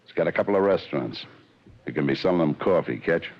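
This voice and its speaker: Brief: deep, menacing hitman, old lo-fi radio drama. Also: expressive, quick pace. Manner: curt, menacing, slangy tough-guy talk. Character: cold tough male hired killer, sneering. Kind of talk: 1940s radio drama acting. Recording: dull lo-fi old radio broadcast.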